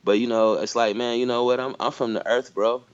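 A man's voice: medium pitch, steady tone, brisk, clean tone, highs cut off. A man from Michigan in a casual conversation, thinking aloud with lots of "you know" and "like". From Michigan, USA. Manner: reflective, many fillers like "you know".

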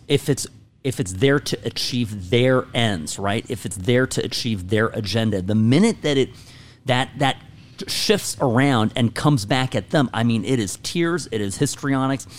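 A man's voice: medium pitch, animated, unhurried. Emphatic male talk show host. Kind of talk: opinionated talk radio conversation.

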